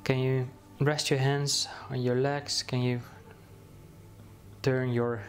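Slow, flat-voiced male meditation guide. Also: mid-range voice. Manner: slow, soothing, many pauses and restarts.

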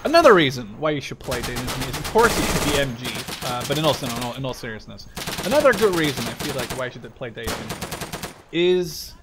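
A man's voice: medium pitch, big pitch swings, quick pace. A young man giving casual gameplay commentary, rambling, sweary and full of opinions. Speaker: gaming video creator giving live commentary. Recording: loud machine-gun game noise behind voice. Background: gunfire and clicks from a war game.

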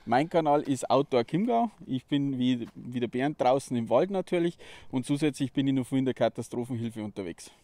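Relaxed man in the forest. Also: medium pitch, a little fast, dry recording. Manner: relaxed, brief answers. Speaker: interviewed guest, fellow channel host. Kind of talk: casual interview. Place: outdoors in a forest clearing. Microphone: handheld mic.

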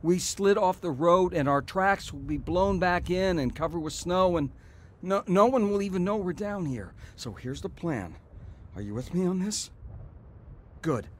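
An actor playing an earnest, emotional brother telling of his ordeal in a radio drama, with halting delivery. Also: high-pitched, expressive, a little hiss or hum. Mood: urgent, tender. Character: anguished, repentant brother narrating his blizzard ordeal. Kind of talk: radio drama acting and narration.

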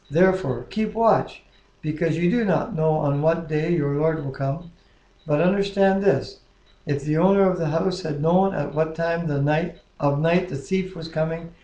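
A man's voice: on the high side, warm tone, highs cut off. A man in his sixties with a North American accent, reading scripture and praying aloud in a living room. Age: 60s. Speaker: co-host of a home Bible reading. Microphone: webcam at about arm's length. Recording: echoey living room webcam audio.

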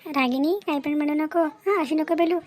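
A woman's voice: high-pitched, normal speed, background noise, natural voice. A woman voicing a loving young sister in a cartoon, coaxing and encouraging, with a Marathi accent. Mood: soothing, encouraging. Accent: Marathi of Maharashtra. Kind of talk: Marathi cartoon drama acting.

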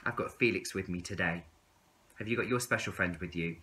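A man in his thirties reading a storybook aloud to young children in an expressive, deliberate way. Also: low voice.

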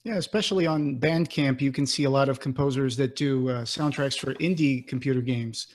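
A man's voice: mid-range voice, fairly quick. A man in his thirties asking casual interview questions as a podcast co-host, close on a desk microphone in a home office. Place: home office, indoors, on a video call. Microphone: close desk microphone with pop filter.

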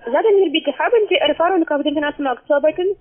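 A high-voiced woman with a foreign accent works through a halting phone sales pitch. She is a non-native speaker with broken grammar. The line is thin and tinny, like a cheap call-center connection. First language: not German.

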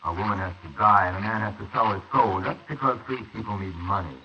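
Man playing low-voiced, jittery young artist, muffled recording. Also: close to an everyday voice. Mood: guilty, brooding. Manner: jittery, brooding, halting delivery. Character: anxious, idealistic struggling young male artist. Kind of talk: 1940s radio acting. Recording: noisy, muffled 1940s wire recording.

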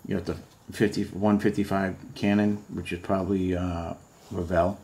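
Deep-voiced man rambling about model kits. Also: level tone, normal speed, darkish tone. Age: middle-aged. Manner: rambling, musing aloud, many fillers. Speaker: model kit collector showing books. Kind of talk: casual show-and-tell vlog. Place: home room full of model kit boxes.

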